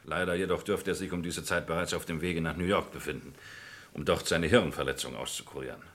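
An actor playing a pompous senior partner of a law firm, speaking in a formal, ceremonious way in an old radio crime play. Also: male voice, sits low, steady tone, a little fast, a bit bright. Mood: genial. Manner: formal, ceremonious speech-making. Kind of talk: 1950s-80s German radio crime play acting.

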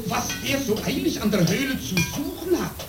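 A bustling village elder in a radio drama, folksy and self-important. The voice is highish and very animated, in a roomy recording with music behind. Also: female voice, moderate pace. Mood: curious, fussing. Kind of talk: German radio play acting.

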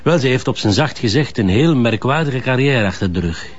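Medium-pitched police colleague played by a man. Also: animated, a little fast, natural voice. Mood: matter-of-fact. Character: male police colleague reporting findings evenly. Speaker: actor in a radio drama. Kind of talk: naturalistic radio drama dialogue. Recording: dull, band-limited radio drama recording.

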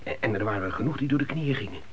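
An actor playing a chatty, scornful young emigrant son in a radio drama, speaking colloquially with repeated words. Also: male voice, fairly low pitch, animated, very fast, natural voice. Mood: eager storytelling. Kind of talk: naturalistic radio drama dialogue. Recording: hissy old radio play recording.

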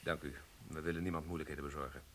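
An actor playing a polite but pointed military police sergeant in a radio play. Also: male voice, a bass voice, flat delivery, racing, fairly bright tone. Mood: cool.

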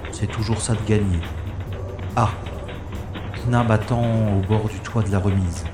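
A man's voice: fairly low pitch, roomy sound, lightly acted. An actor playing a wisecracking special agent who narrates his own science-fiction adventure in a wry, theatrical way. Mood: tense, focused. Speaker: lead actor and narrator of an audio drama. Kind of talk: dramatised first-person narration, sci-fi radio play.

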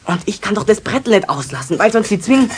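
An actor playing an eager young carpenter's helper in a children's radio play, a man with a Bavarian accent, emphatic and quick to protest. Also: high voice, sing-song, heavy background noise. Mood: flustered, alarmed.

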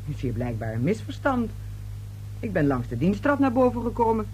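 A woman's voice: mid-range voice, very animated, fairly quick, darkish tone, lightly acted, poor audio. Woman acting a composed, guarded schoolmistress. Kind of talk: radio play acting. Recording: old radio play recording with faint hum.

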